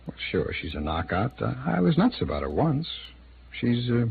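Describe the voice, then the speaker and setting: A man acting a smooth-talking but defensive American city attorney in his thirties, in an old-time radio drama. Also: medium pitch, sing-song, moderate pace. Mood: candid, defensive. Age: 30s. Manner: hesitant, defensive, conversational. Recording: phone-like, noisy old radio recording.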